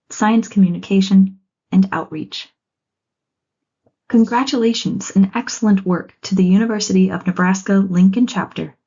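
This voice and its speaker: A woman's voice: medium pitch, mellow. A woman reading a scripted award ceremony announcement as the presenter. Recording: muffled lo-fi audio, music behind.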